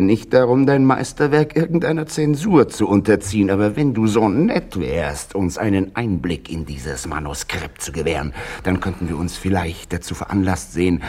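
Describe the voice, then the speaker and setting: Husky, low-voiced pompous fanatical politician. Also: male voice, a little mellow. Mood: veiled threat. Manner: grandiloquent, unctuous, deliberate rhetoric. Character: pompous fanatical would-be politician, falsely courteous and menacing. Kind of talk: radio drama acting.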